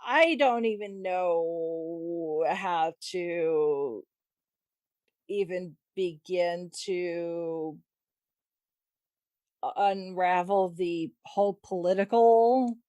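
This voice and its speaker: A woman's voice: on the low side, very slow, a little mellow, dry recording, lo-fi sound. A woman co-hosting a podcast, chatting casually and animatedly about a film. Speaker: podcast co-host. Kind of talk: casual film discussion chat.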